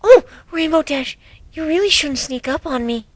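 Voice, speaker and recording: A woman voicing a timid, gentle young female pegasus pony, telling a story in a soft, hesitant way that can drop to a near whisper. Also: high-pitched, expressive, moderate pace, dry recording, clean studio sound. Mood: nervous, timid. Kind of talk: first-person dramatic reading of a fan story.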